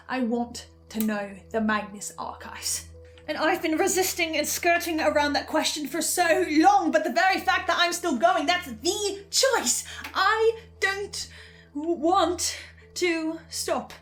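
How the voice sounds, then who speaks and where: A high-pitched, haunted archivist, acted by a woman, confesses hungrily to the listener in a horror drama. The delivery is theatrical and halting, with soft music underneath. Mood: desperate, agitated. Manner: theatrical, halting, swinging from whispers to outbursts. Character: tormented, obsessive, hungry archivist confessing to the audience. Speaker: host of a horror listen-along series. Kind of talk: dramatic in-character monologue to the listener.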